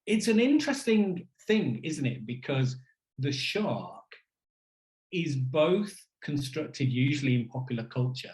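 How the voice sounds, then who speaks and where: A man's voice: a little high, very animated, measured pace, a little mellow. A man answering questions as an academic keynote speaker, conversational and discursive, circling and correcting himself as he goes. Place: indoor room on a virtual conference call. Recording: roomy, echoey video call sound.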